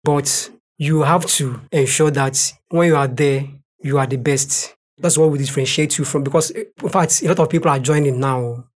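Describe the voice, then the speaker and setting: A man's voice: fairly high pitch, normal speed, few pauses. A man from Nigeria with a Nigerian accent, a native speaker of Igbo and Nigerian Pidgin, answering interview questions as a translator and repeating points for emphasis. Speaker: translator, podcast guest.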